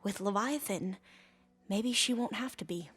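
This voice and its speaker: A hesitant, bookish young scholar. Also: female voice, mid-range voice, a bit bright, slightly rough, soft background music. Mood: earnest, explanatory. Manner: hesitant, halting, with formal learned wording. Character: nervous, earnest, bookish young woman scholar of a tribe. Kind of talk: video game cutscene dialogue.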